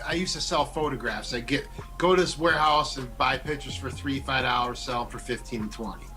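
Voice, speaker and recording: A man, a radio personality, telling animated, profane stories in a casual chat. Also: medium pitch, fairly quick, faint music behind.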